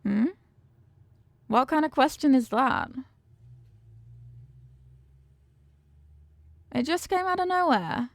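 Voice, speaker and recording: A woman's voice: high voice, expressive, normal speed, dry recording. A woman playing a confident, affectionate mafia boss in an intimate roleplay, teasing and streetwise, with slangy talk and pet names. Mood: playful. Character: confident, doting female mafia boss, tough but affectionate. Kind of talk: intimate ASMR-style roleplay acting.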